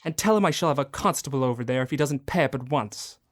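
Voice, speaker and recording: A man's voice: medium pitch, animated, fast talker, lightly acted. Snarling old miser, played by a man, scornful and cold, voice swinging wide in a radio play. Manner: snarling, scornful, barking orders. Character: miserly bitter old male moneylender. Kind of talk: student radio drama acting.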